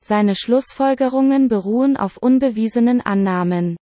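A woman reads German example sentences for learners in a careful, even way. Her voice sits a little high for a woman. The recording is dry and close, with no room sound. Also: moderate pace, no room sound. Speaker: German word reader. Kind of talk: slow vocabulary reading.

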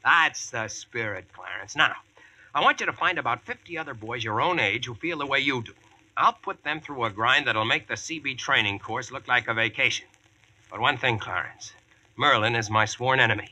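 An actor with an American accent playing a brash, slangy, wisecracking Yankee boss in a vintage radio drama. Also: male voice, mid-range voice, pushed and bright. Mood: brisk, impatient. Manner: slangy, brisk, cocksure. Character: brash wisecracking modern Yankee man bossing King Arthur's court. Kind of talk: 1940s radio acting and first-person narration. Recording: noisy vintage radio recording.

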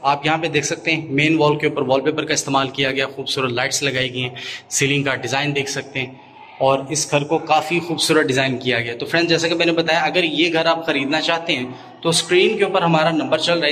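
Urdu-accented male host, slightly high voice, house tour patter, faint room noise. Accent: Pakistani Urdu. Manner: steady salesman patter, repeating stock phrases. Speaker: real estate YouTuber giving a house tour. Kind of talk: walkthrough narration. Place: inside an empty new house.

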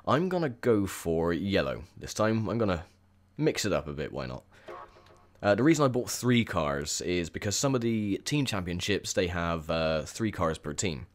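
Deep-voiced man, croaky throat. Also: big pitch swings, moderate pace. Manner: chatty, rambling with many ums. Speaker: gaming video host. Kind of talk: casual gameplay commentary.

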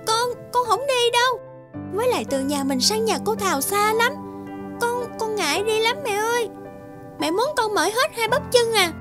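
Whiny little cartoon girl, super high childish voice, selfish, soft music behind. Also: expressive, moderate pace. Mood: whiny, stubborn. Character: lazy, whiny, self-centred young village girl. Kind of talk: cartoon folktale dubbing.

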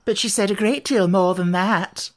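A man voicing a fretful, moaning chess queen in an audiobook, plaintive and drawn-out. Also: high-pitched, pitched above the performer's own voice, close to an everyday voice. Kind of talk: audiobook character voice.

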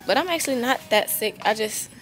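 A woman's voice: a little high, lively intonation, brisk, fairly bright tone, a little hiss or hum, rough recording. A teenage girl, vlogging in a casual, rambling way, with a stuffy, congested nose. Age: teens. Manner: chatty and candid, rambling asides. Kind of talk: casual vlog chat.

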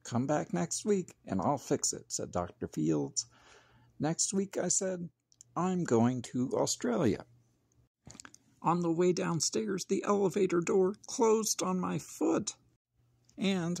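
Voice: mid-range voice, gravelly, a little mellow, breathy edge, no echo, studio quality. A storybook narrator reading aloud expressively as a grumpy young boy, with dramatic, emphatic phrasing.

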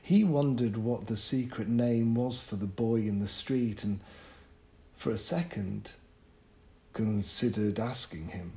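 A man reading a literary short story as an audiobook, with measured, deliberate phrasing and pauses. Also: on the low side, a little mellow. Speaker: audiobook narrator. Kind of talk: scripted literary story narration.

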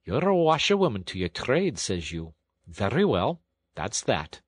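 A man's voice: on the low side, wildly swooping pitch, a little slow, slightly rough, dry recording, exaggerated voice. A man voicing a burly, good-natured working-class engine driver in a storybook, folksy and plain-spoken. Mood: friendly, reflective. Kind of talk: storybook character acting.

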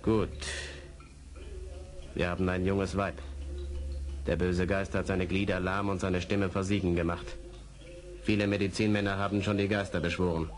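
An actor playing a proud, suspicious war chief in an audio drama, speaking in terse, formal pronouncements. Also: male voice, low-pitched, little pitch movement, moderate pace, long pauses, lightly acted. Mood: grave. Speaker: actor in a radio play. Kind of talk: 1970s German audio drama acting.

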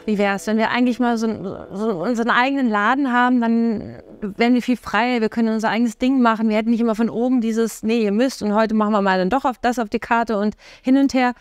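A middle-aged woman, a restaurant co-owner, telling stories in a lively way during a documentary interview in a restaurant dining room. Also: a little high, fast, hardly any pauses, smooth, dry recording. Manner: lively storytelling, laughs easily.

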